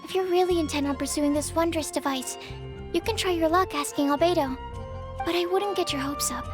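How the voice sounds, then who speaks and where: A woman's voice: very high voice, brisk. Shy young female alchemist. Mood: polite. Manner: timid, apologetic, stammers. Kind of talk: video game cutscene dialogue. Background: game music.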